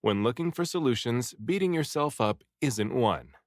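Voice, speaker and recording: Man acting an excitable, self-doubting storybook castaway. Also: mid-range voice, moderate pace, no echo, clean studio sound, natural voice. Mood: uneasy. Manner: dramatic, exclaiming and muttering to himself. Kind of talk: storybook character dialogue.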